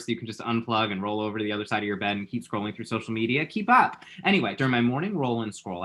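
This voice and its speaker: A man's voice: mid-range voice, fairly bright tone, lightly acted. A man acting a dramatic, lovestruck man in his late twenties in a rom-com script read, rambling and quippy. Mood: giddy, dramatic. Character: dramatic, lovestruck, scrolling-obsessed twenty-seven-year-old man. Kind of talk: screenplay table read, rom-com dialogue.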